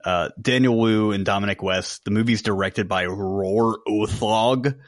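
Low-voiced man in a dry-sounding room. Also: measured pace, no room sound. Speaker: podcast co-host. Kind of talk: casual film review.

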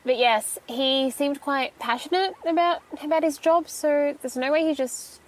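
Actress as anxious young female shop employee, high voice, polite and rambling, hesitant naturalistic audio-fiction delivery. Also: a little slow, rough recording. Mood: nervous, chatty. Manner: hesitant, rambling, nervous stammering and self-correcting.

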